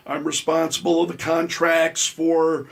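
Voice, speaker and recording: A man's voice: fairly high pitch, level tone, a little slow, creaky, fairly bright tone. A middle-aged man, a radio talk show host, speaking conversationally as an interview guest and explaining his work in a self-deprecating way.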